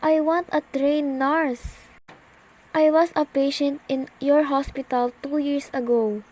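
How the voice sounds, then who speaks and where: A woman's voice: sits high, steady tone, darkish tone, low-quality recording. A young woman acting a nervous, demanding bedridden woman alone at home in an amateur radio play. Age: teenager. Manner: imperious, nervous, halting delivery.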